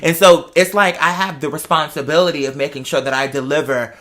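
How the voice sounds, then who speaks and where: Bright-voiced American talker, emphatic and chatty. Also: medium pitch, steady tone, fairly quick. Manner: emphatic, repeats phrases for stress. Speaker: talk show host. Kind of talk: casual personal chat, storytelling.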